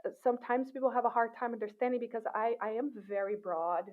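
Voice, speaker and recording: Animated Brazilian-born woman, tinny line. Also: a little high, quick pace. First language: Portuguese. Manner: animated, laughs often, many restarts. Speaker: guest, assistant professor. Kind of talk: casual interview chat. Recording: tinny phone-like sound.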